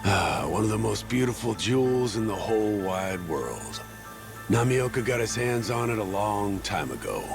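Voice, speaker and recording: An actor playing a gruff, loyal sea captain, tough and blunt but caring, in a dramatic game cutscene. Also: male voice, on the low side, animated, moderate pace. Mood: uneasy. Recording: music under the voice.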